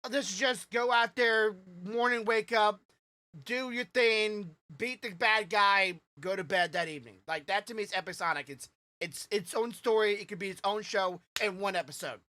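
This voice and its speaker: Bright-voiced woman chatting on a podcast. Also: mid-range voice, normal speed. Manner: rambling, many restarts and fillers. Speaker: podcast co-host. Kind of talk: casual podcast chat.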